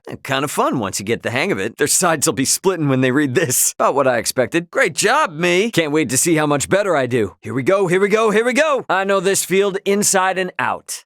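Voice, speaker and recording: An actor with an American accent playing a brash, easygoing spacefaring hero in a video game, with a cocky, slangy, joking delivery. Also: male voice, on the high side, a bit bright, dry recording, studio quality. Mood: cocky, playful. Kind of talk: video game voice lines, battle barks and chatter.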